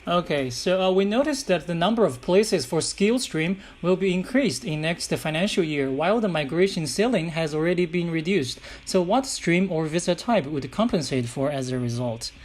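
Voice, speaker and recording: A man with a fairly high-pitched voice acts as an interviewer. He puts formal, prepared questions in a polite and somewhat halting manner. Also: animated, background noise.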